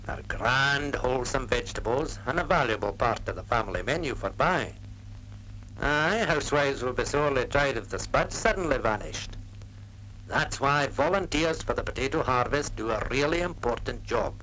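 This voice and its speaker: A man's voice: mid-range voice, pushed and bright. A Scottish man narrating a 1940s public information film in a folksy, persuasive manner. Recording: faintly noisy 1940s film soundtrack.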